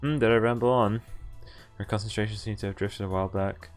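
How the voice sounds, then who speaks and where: A man's voice: fairly low pitch, steady tone, a little fast. A man streaming a visual novel, reading it aloud with casual, amused asides. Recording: background game music behind voice. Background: game music.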